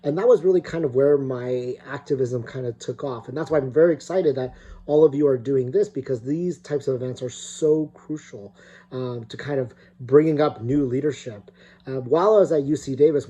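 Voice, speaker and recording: A man's voice: mid-range voice, warm tone. Hesitant middle-aged man from California. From California, United States. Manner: hesitant, many fillers and restarts. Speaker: guest speaker. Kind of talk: recorded opening talk.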